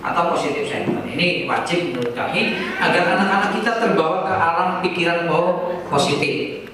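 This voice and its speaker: A man's voice: on the high side, moderate pace. A man with a Banyumasan Javanese accent giving an informal, didactic speech to teachers, full of rhetorical questions and colloquial Javanese. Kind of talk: informal public address to teachers. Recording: echoey room from far off, faint noise.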